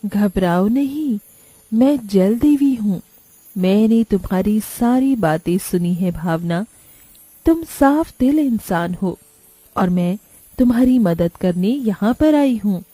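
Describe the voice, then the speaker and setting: Woman as a serene, slow-speaking river goddess. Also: medium pitch, expressive, dark tone, no echo, rough recording. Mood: reassuring. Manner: slow, soothing, stately. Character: gentle, benevolent river goddess, female, serene.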